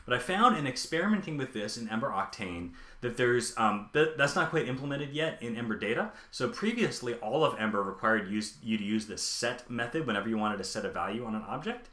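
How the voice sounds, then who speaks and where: Lively-voiced man live coding. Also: medium pitch, brisk. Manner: thinking aloud, many ums and uhs. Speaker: live-coding streamer and developer. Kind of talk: live coding tutorial with chat replies. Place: home office, indoors. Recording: echoey room.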